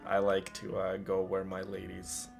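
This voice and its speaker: A man's voice: low-pitched, monotone, unhurried, creaky, soft background music. A man hosting a livestream, chatting casually and addressing viewers with doting affection. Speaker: livestream host. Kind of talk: casual chat while streaming a game.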